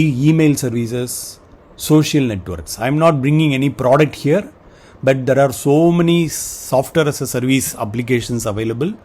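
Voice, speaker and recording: A man with a mid-pitched voice is presenting an explanatory lesson. He speaks semi-improvised, in short halting phrases. He tends to list points item after item. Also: a little mellow.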